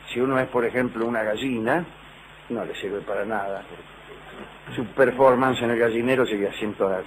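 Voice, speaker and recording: A man's voice: mid-range voice, slight background noise, poor audio. A middle-aged man with a Rioplatense Spanish accent, hosting a radio show as a humorous lecture with dry, digressive asides. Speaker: radio host.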